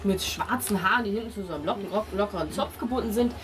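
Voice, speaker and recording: Woman, game master, improvised descriptive narration, tabletop role-playing, clear background music. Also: medium pitch, fast talker, recorded from a distance.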